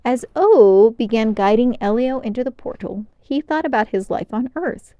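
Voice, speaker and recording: A woman narrating a children's novel aloud in an expressive storytime style. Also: on the high side, normal speed, dry recording, muffled recording.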